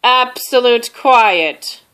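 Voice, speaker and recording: A woman's voice: on the high side, extremely slow, sharp tone, clean tone, pitched above the performer's own voice, exaggerated voice. A woman voicing a fussy, easily exasperated male bear in a children's storybook, speaking in an emphatic, pompous way. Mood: stern, exasperated.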